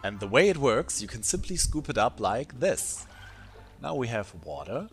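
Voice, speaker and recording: A man with a German accent explaining game tips in a relaxed, chatty voice-over, with dry little asides. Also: medium pitch, moderate pace, soft background music. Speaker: gaming YouTuber.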